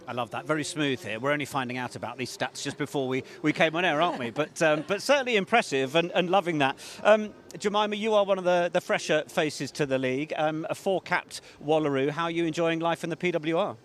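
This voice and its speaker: A man's voice: a little high, quick pace, few pauses. A man presenting live rugby coverage from a stadium, chatting easily with his guests. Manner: chatty, warm-hearted banter with guests. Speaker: sports presenter. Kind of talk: live sports broadcast presenting. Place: rugby stadium, then a commentary box.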